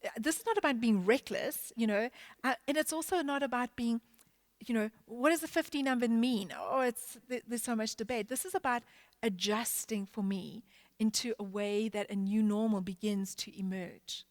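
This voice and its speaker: Woman church leader, somewhat high voice, hesitant and searching, dry close sound. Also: dry recording. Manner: halting, many restarts and fillers. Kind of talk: panel discussion.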